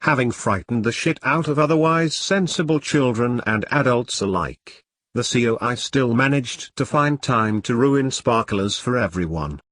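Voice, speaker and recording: A man's voice: medium pitch, moderate pace, a bit bright, dry recording. A British man reading a scripted review in a dry, sardonic, sweary manner.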